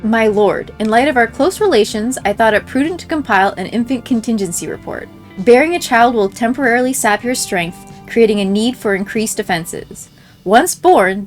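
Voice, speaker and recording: A woman reading written in-game messages aloud as a scripted narration. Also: on the high side. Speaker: game playthrough creator reading in-game mail aloud. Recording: game music behind the voice. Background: game music.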